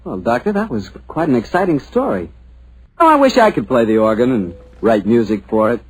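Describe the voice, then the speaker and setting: A man announcing a 1940s radio show in a polished, smooth delivery. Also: medium pitch, big pitch swings, a little fast, poor audio.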